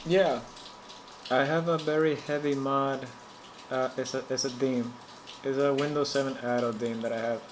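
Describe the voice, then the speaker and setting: A man from Puerto Rico with a Puerto Rican Spanish accent, a native Spanish speaker, hosting a live stream and chatting haltingly while he works, repeating words and chanting to himself. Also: mid-range voice, fairly even intonation. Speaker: livestream host. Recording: noticeable background noise.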